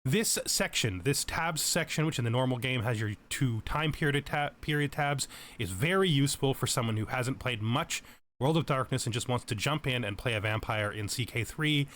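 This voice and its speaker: A man's voice: medium pitch, moderate pace, a bit bright. A man giving explanatory let's play commentary as a tutorial host, methodical and thorough in how he explains things. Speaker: game tutorial host, player.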